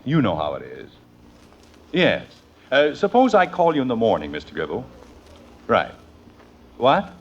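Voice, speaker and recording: A man's voice: medium pitch, huge pitch swings, measured pace, long pauses, husky, heavily acted. An American man playing a wry, put-upon middle-aged father in a vintage radio sitcom, with a dry, sarcastic manner. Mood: resigned. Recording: muffled, hissy old radio recording.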